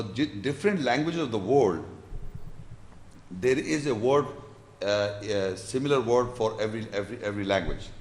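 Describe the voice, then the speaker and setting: A man lecturing and answering audience questions, explaining patiently and repeating words as he builds his sentences. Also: on the low side. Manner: recites scripture verses in Arabic. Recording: echoey lecture hall.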